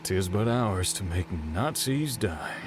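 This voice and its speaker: An actor playing a grumbling but loyal American army sergeant in an audio drama, sardonic and down-to-earth. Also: male voice, low voice, animated, slow pace, noisy background. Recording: music behind the voice.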